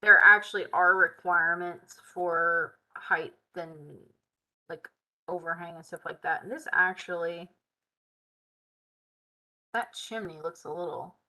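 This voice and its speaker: Casting note: woman, hoarse and worn, bright tone, casual live tutorial host, chatty and muttering. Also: lowish voice, steady tone, measured pace, long pauses, rough recording. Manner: chatty, Southern "y'all", muttering while working. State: tired, hoarse voice. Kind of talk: live screen-share tutorial, thinking aloud.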